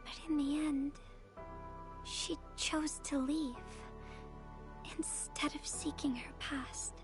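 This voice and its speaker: Gentle game heroine, high soft breathy voice, slow wistful delivery, sincere young woman. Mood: wistful, uneasy. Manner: tender, reflective, unhurried. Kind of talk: video game story dialogue.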